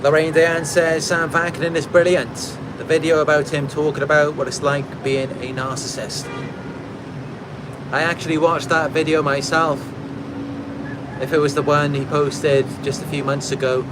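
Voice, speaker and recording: A man's voice: on the high side, little pitch movement, moderate pace, noisy background. A Welsh man from Wales, a channel host, reading out viewer comments and replying in a reflective, casual way with fillers and restarts. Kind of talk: casual talk to camera.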